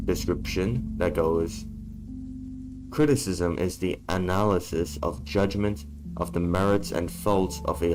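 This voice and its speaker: A man talking in a casual vlog from a dark room, blunt and swearing freely, his voice worn from being sick. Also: deep voice, rough recording. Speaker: YouTuber.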